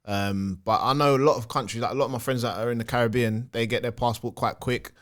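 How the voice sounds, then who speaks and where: A man's voice: medium pitch, steady tone, fast, a bit bright. A young man, a footballer guest chatting casually with lots of "you know what I mean". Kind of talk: casual podcast chat.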